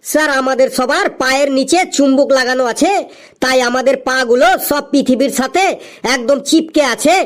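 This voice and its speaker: A woman's voice: sits high, moderate pace, close to an everyday voice. A woman voicing a cheeky, dim-witted schoolboy in a comedy cartoon with an earnest delivery. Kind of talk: exaggerated comic cartoon dubbing.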